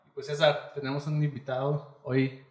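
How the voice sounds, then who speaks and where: A man's voice: medium pitch, flat delivery, moderate pace, darkish tone, roomy sound. A man hosting a science podcast, greeting viewers and asking chatty, friendly questions. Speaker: podcast host. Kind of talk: casual interview hosting.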